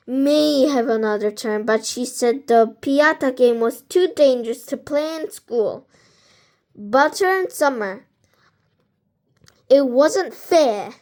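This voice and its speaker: A woman reading a children's storybook aloud in a halting way, stumbling over some words. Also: high-pitched. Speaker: amateur book reader.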